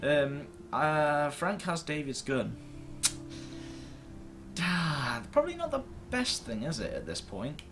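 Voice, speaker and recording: A man's voice: fairly high pitch, big pitch swings, takes it slowly, over music. A man gives casual, rambling gaming commentary to camera, self-deprecating and mildly sweary, recorded at his computer. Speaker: host of a gaming video series. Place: indoors at a computer screen.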